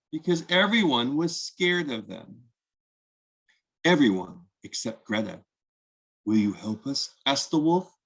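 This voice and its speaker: A man hosting a children's read-aloud, telling a picture book story expressively and deliberately, inviting listeners to join in. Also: fairly high pitch, fairly bright tone, poor audio.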